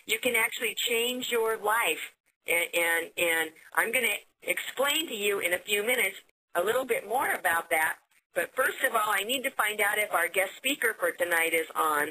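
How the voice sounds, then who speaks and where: An American woman hosting a business conference call, giving an upbeat sales pitch and often repeating words as she goes. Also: expressive, moderate pace, sharp tone, a little hiss or hum, rough recording. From United States.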